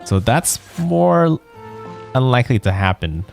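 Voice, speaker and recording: A man's voice: mid-range voice, big pitch swings, unhurried, vocal fry, soft background music. A man livestreaming a video game, chatting casually and thinking aloud in a rambling way. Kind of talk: casual chat while playing a game.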